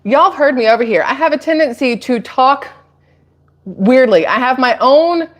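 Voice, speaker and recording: A woman's voice: high-pitched, animated, normal speed. An American woman hosting a live stream of casual political commentary, chatty and rambling with emphatic asides. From Atlanta, United States.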